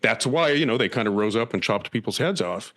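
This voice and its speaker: A middle-aged man hosting a podcast, losing his voice and talking in an animated, joking, tongue-in-cheek way. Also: on the low side, a little fast. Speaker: podcast host. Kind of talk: casual conversation.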